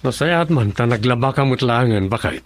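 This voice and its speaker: An actor playing an easygoing, broke husband who works construction, in a radio drama. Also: male voice, mid-range voice, expressive, moderate pace, faint music behind, close to an everyday voice.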